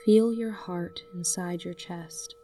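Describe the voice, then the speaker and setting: A woman narrating a scripted guided meditation in a gentle, soothing manner, leaving long pauses between short phrases. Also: on the low side, steady tone, recorded from a distance, slight background noise.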